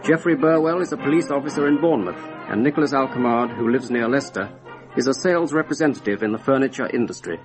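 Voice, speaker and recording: Fast-talking male documentary narrator. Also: medium pitch, low-quality recording. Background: music.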